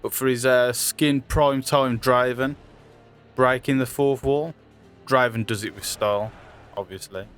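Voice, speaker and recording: Guy narrating a scripted trivia video, normal pitch, bit of music in the back. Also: fairly even intonation, a little slow.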